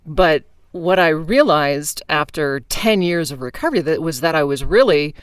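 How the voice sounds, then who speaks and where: A woman with a deep voice hosting an earnest faith-based conversation, often saying "you know". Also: expressive, moderate pace, clear, resonant tone, no echo, studio quality. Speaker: podcast host.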